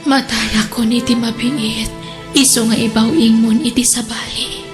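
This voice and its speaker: A woman's voice: on the high side, fairly even intonation, normal speed, over music, natural voice. A woman with an Ilocano Filipino accent playing a frail, selfless dying sweetheart in an audio drama, tender and pleading. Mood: weak, resigned.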